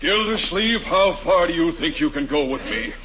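Male actor as haughty huffy neighbour, high voice, pompous, aggrieved, vintage radio comedy, noisy muffled recording. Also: expressive, moderate pace, close to an everyday voice. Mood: indignant. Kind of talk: 1940s radio comedy acting.

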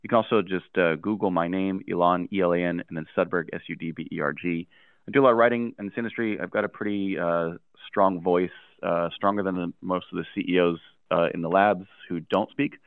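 A man's voice: low-pitched, fairly even intonation, a little fast, vocal fry, no echo. A man speaking as an interview guest, blunt and frank, with many fillers. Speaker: guest, lab CEO. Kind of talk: casual interview chat. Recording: tinny phone line.

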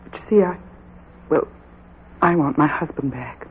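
An anxious, earnest wife played by an actress in 1940s radio drama, her voice fairly low for a woman but pleading and hesitant. The recording is thin and boxy with faint noise. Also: normal speed, lightly acted. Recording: narrow-band, faintly noisy old radio recording.

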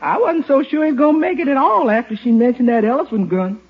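An actress playing a good-natured, practical housekeeper with a folksy, frank but deferential manner in a 1950s radio comedy. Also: female voice, high-pitched, fast, husky. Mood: advising. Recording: muffled vintage 1950s radio recording.

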